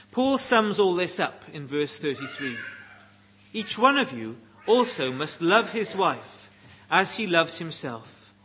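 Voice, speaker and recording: A middle-aged Welsh man preaches in a fairly high, husky voice. His pitch moves in wide, lively swings. He delivers the sermon slowly, setting out each phrase with deliberate emphasis. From Wales.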